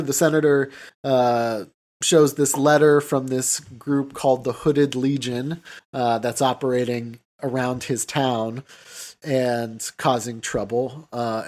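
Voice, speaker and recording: Halting, colloquial man hosting a podcast. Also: mid-range voice, darkish tone. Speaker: podcast host. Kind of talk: casual film discussion and recap.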